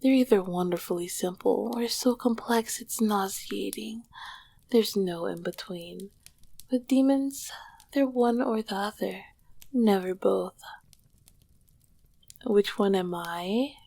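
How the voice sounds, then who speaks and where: A woman voicing an ancient, lonely and affectionate succubus queen in an intimate ASMR roleplay, breathy and often slipping into a whisper, with doting, eloquent phrasing. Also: medium pitch, slow, pauses often, dark tone, no echo. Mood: amused, haughty.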